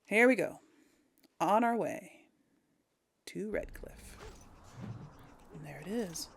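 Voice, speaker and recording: A woman's voice: mid-range voice, expressive, takes it slowly, creaky. A woman giving casual, hesitant let's play commentary, thinking aloud to viewers as she plays. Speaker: host of a gaming let's play.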